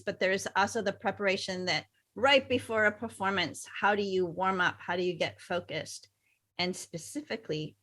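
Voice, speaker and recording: A woman's voice: mid-range voice, bright, forceful tone. A woman co-hosting a podcast, asking her guest questions in a friendly way. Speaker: podcast co-host. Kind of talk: casual interview hosting.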